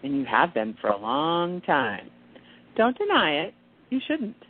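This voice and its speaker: A woman playing a serene, knowing angel guide in a radio play, speaking with confident, measured emphasis. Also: sits low, huge pitch swings, full character voice. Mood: insistent, reasoning. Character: serene, knowing female angel guide in kittenish human guise. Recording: tinny, band-limited phone-like sound.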